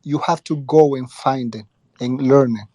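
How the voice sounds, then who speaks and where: A man explaining his view earnestly and haltingly in a casual group discussion. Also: medium pitch, warm tone, dry recording, clean studio sound. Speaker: discussion participant, former teacher. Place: live online audio room, dry sound.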